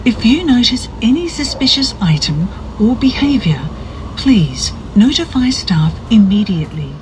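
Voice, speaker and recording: Woman, formal scripted announcements, slow and deliberate, faint moving-train noise behind her. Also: a little high, very animated. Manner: measured, formal, courteous. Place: inside a moving bullet train. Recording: noisy train cabin, announcement over speakers. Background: train running noise.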